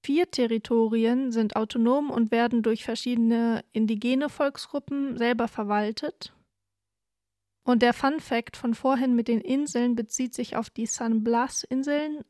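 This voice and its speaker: A woman's voice: fairly high pitch, warm tone, no echo, studio quality. A young woman born in Peru co-hosting a casual podcast, chatting and sharing prepared facts in a self-deprecating way. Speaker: podcast co-host. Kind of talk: casual chat with prepared fact segments.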